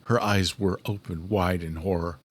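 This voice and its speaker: A man's voice: deep voice, fairly even intonation, moderate pace, husky, no echo. A man reading a horror thriller audiobook as scripted narration.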